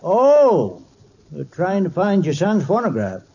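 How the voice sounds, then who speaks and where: Obtuse folksy sweeper, old radio comedy, high-pitched, rambling and stubborn, muffled vintage recording. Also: male voice, huge pitch swings, slow, mellow, slight background noise, full character voice. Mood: oblivious. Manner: literal-minded, rambling, colloquial speech.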